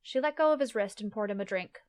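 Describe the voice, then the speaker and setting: A woman's voice: medium pitch, lively intonation, fast, darkish tone. A woman reading scripted fantasy story narration as the narrator of an audio play.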